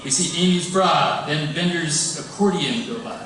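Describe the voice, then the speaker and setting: Echoey, distant high-pitched man reading stage directions. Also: normal speed. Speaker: stage direction reader at a table read. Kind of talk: scripted narration read aloud. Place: live event stage, audience reacting. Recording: echoey hall from far off, loud noise.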